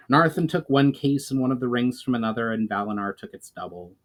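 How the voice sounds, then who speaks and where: A man's voice: medium pitch, fairly bright tone, low-quality recording. A man narrating a fantasy story as a dramatic audiobook-style reading. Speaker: author reading his book.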